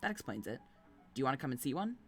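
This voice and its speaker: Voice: mid-range voice, sing-song, racing. A streamer voicing a small, friendly, fearless boy in a storybook reading. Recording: music playing behind the voice.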